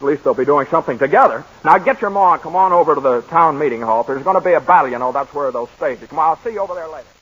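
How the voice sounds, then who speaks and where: A man's voice: a little high, expressive, rapid-fire, few pauses, fairly bright tone, husky. An actor playing an earnest, persuasive young man rallying a small town, in a 1940s radio play. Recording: dull lo-fi 1940s radio broadcast.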